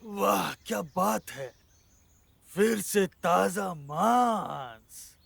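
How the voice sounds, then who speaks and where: Cartoon villain wolf: hungry, gloating, high gravelly voice, music bed. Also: male voice, expressive, a bit bright, no room sound, heavily acted. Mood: gloating, menacing. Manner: gloating, drawn-out threats and growling cries. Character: menacing, hungry male wolf hunting cubs for fresh meat. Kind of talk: Hindi cartoon dub acting. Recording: music under the voice.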